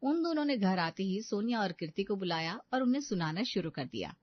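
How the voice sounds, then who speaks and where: A woman's voice: medium pitch, sing-song, fairly quick, dry recording, dull audio. A woman reading scripted narration for a children's story.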